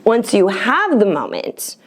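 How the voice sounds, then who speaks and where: A middle-aged woman presenting an instructional talk with anecdotes, speaking emphatically with deliberate pauses on key words. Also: medium pitch, wildly swooping pitch. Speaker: storytelling coach and presenter.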